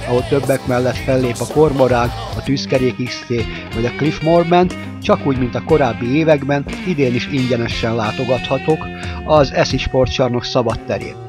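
A man narrates a festival report in a scripted, formal voice-over. His voice sits at an ordinary middle pitch. Loud live band music plays under him throughout. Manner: steady, formal reading.

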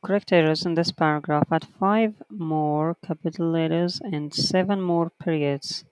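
A writing teacher giving an online lesson, reading textbook sentences aloud slowly and counting the corrections one by one. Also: mid-range voice, fairly even intonation, clean tone, no room sound, poor audio.

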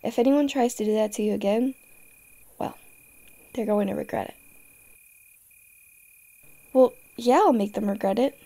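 A woman's voice: fairly high pitch, moderate pace, gravelly, long pauses, dark tone. A woman playing a tough, fiercely protective young girlfriend in an audio roleplay, speaking directly to the listener. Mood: tender, protective.

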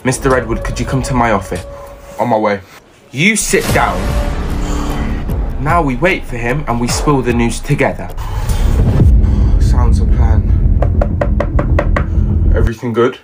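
A young man acting out school comedy sketches, switching characters with quick slangy banter. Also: medium pitch, over loud music. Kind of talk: sketch comedy playing all the parts. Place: school classrooms and corridors, indoors.

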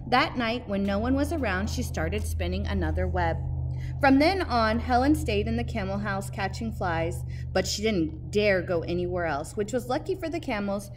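A woman in her thirties reading a picture book aloud expressively and emphatically, like a teacher, pausing to ask questions. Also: mid-range voice, expressive, music in the background, poor audio. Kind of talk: expressive children's storybook read-aloud. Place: outdoors by a brick building entrance. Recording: faint outdoor background noise.

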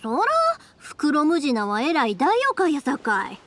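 A woman's voice: high-pitched, very animated, normal speed. A woman voicing a cheerful, big-sisterly young fireworks maker in a video game, encouraging and playful, with a Kansai accent.

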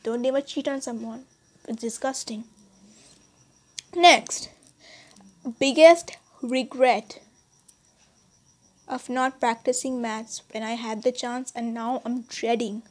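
Chatty high-voiced Indian girl. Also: fairly even intonation, slow pace, pauses often. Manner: chatty, rambling, frequent restarts and fillers. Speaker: YouTuber. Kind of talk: casual vlog chat. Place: small bedroom, indoors.

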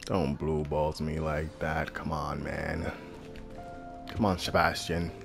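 A man's voice: very deep voice, flat delivery, slow, gravelly, over music. An American man giving casual, slangy and profane reactive commentary as he plays a video game. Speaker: gamer.

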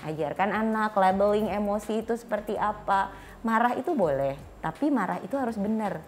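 A woman's voice: mid-range voice, expressive, a little fast, darkish tone, a little hiss or hum. A woman in her thirties with an Indonesian accent, explaining as a guest expert in informal talk and acting out short dialogues. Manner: acts out short parent and child dialogues. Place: small furnished studio set, indoors. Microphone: clip-on lapel mic.

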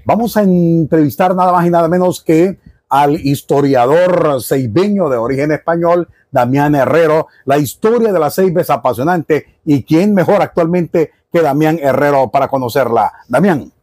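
A man's voice: on the high side, moderate pace, darkish tone, dry recording. A middle-aged Honduran man with a Honduran Spanish accent, hosting an interview and speaking with emphasis. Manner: emphatic, rhetorical questions. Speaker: podcast host. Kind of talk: casual interview. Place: small cluttered study with bookshelves.

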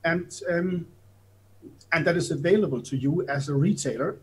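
A man with a German accent speaks over a video call from an office, his voice sitting a little high for a man. He explains ideas patiently, piling up examples with small hesitations. He sounds like an invited guest on an interview stream. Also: moderate pace, rough recording. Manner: explanatory, gestures while talking, some hesitant fillers. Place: office room. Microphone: video call webcam.

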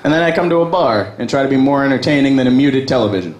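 Self-mocking young comic in echoey bar. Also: male voice, mid-range voice, expressive, rapid-fire, dull audio. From Virginia, USA. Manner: conversational, self-deprecating, crude. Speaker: stand-up comic. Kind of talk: open mic stand-up set. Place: small bar open mic stage. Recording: echoey bar with background noise.

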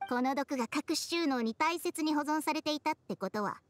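A woman voicing a haughty, sharp-tongued ancient snake spirit in an anime game, brusque, smug and scolding. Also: high-pitched, normal speed, slight background noise, lightly acted. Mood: shrewd. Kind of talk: Japanese anime game voice acting.

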